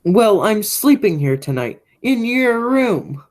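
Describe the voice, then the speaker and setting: A woman's voice: mid-range voice, very animated, slow, a little mellow. A teenage girl playing a vicious, commanding long-lost brother in an amateur radio play, speaking with threat. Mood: smug, insistent. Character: menacing, vicious grown man, sinister long-lost brother.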